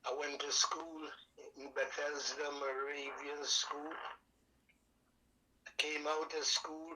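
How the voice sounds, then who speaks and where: Bright high-voiced old Trinidadian man. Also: little pitch movement, takes it slowly, slight background noise, poor audio. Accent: Trinidad and Tobago. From Tobago. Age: older. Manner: rambling, devout, folksy dialect grammar. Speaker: guest, veteran and calypso singer. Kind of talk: casual interview storytelling.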